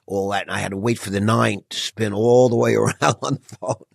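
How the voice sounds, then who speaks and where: Middle-aged male host with a rough, husky edge and a lowish voice, nervy and lively in conversation. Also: a little fast, dry recording, clean studio sound. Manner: animated, self-described anxious and edgy talker. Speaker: podcast host. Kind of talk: casual interview chat.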